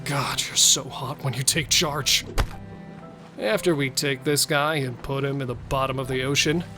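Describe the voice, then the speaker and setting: A man playing a wary yet bloodthirsty serial killer in an audio drama, speaking with deliberate intensity. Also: mid-range voice, fairly even intonation, over music, close to an everyday voice. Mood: eager, bloodthirsty. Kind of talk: audio thriller acting.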